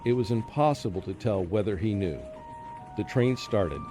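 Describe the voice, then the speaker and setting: A tormented professor played by a man narrates a radio play in a fairly low voice. He sounds fearful and anguished, halting at times and breaking into frantic outbursts. Music plays clearly behind him. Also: lively intonation, close to an everyday voice. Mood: tense suspense. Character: tormented, fearful male professor under a deadly curse. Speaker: narrating lead in a radio drama. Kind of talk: dramatic first-person radio play narration. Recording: prominent music under the voice.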